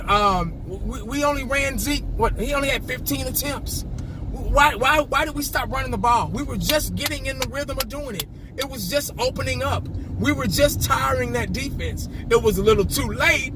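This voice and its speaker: A passionate man ranting about his football team, with a slightly hoarse, scratchy voice, stumbling and repeating his words. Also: very high voice. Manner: passionate, repeats words when starting sentences. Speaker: sports fan vlogger. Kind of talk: casual fan rant to camera.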